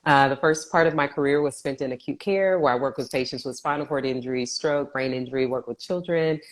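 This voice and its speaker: A woman's voice: rumbling low, brisk. A woman physical therapist talking earnestly as a guest in a casual live video interview. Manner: earnest, explanatory. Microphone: video call.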